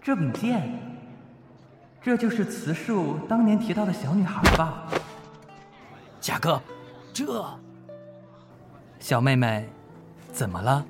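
A gentle swordsman master played by a man in a radio drama, kindly and coaxing. His voice sits a little high and moves expressively, with faint music underneath. Grief lies under his measured manner. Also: expressive. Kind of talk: amateur radio drama acting.

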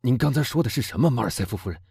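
A man playing a cold, vengeful middle-aged nobleman who speaks with calm, bitter irony. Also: medium pitch, rapid-fire, creaky, husky, no echo, studio quality, voice pushed up, heavily acted.

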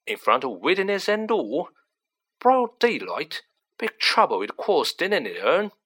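A storyteller voicing a gossipy, excitable young working-class man who talks colloquially and dramatically, in an audiobook reading. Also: medium pitch, measured pace, slightly rough, pitched above the performer's own voice, full character voice. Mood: gossipy, excited. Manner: colloquial, dramatic, dropped grammar, tag questions.

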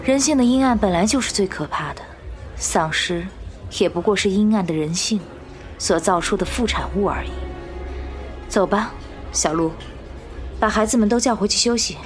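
A woman's voice: medium pitch, animated, normal speed, long pauses, soft background music, natural voice. A woman playing a cool-headed, commanding survivor leader in a zombie audio drama, stern and decisive. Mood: calm, cynical. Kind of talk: Chinese fan audio drama acting.

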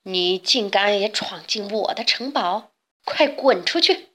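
A woman's voice: medium pitch, animated, measured pace, fairly bright tone. A woman voicing a fierce, cold male beast in a children's storybook, growling and commanding. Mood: furious.

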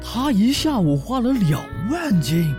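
An eager young orc husband voiced by a man talks in a mid-pitched, very animated voice, placating and commenting as things unfold. Music sits clearly behind the audio drama. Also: measured pace, heavily acted. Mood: disapproving.

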